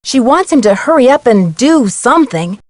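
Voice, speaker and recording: A woman's voice: on the high side, very animated, normal speed, no room sound, studio quality. A woman voicing an inquisitive, earnest young amateur detective in a video game. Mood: earnest, curious.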